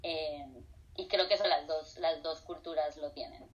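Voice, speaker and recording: A woman's voice: sits low, fairly even intonation, unhurried, highs cut off. A middle-aged woman from Colombia, a professor being interviewed, switching between Spanish and English with frequent fillers. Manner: hesitant, many fillers and restarts. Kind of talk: casual interview answers.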